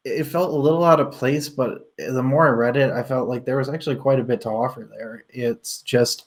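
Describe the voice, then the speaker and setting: A man's voice: mid-range voice, darkish tone. A man co-hosting a casual book talk show, rambling and hesitant, often laughing. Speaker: book podcast co-host.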